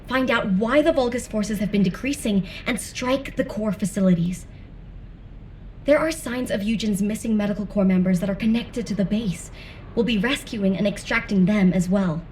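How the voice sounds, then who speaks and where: A woman's voice: medium pitch, normal speed, darkish tone, far from the mic, slight background noise, natural voice. An actress playing a caring, earnest young female scout in a video game, talking in a friendly, encouraging way. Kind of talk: scripted video game dialogue.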